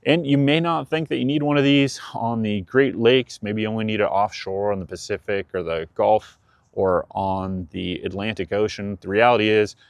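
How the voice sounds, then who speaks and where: Low-voiced man giving practical advice. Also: no room sound. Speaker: presenter of a boating advice channel. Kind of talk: explanatory advice talk to viewers.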